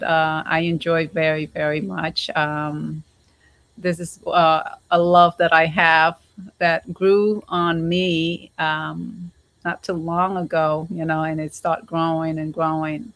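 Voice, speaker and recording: Middle-aged female guest, low voice, unhurried and halting, repeats words, casual interview. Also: little pitch movement, a bit bright, no room sound. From Cambodia, grew up in the United States.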